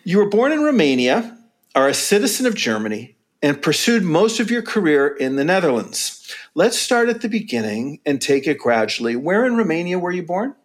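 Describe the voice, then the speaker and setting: A man hosting a podcast, reading a formal introduction and asking interview questions in a measured way. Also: a little high, sing-song. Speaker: podcast host.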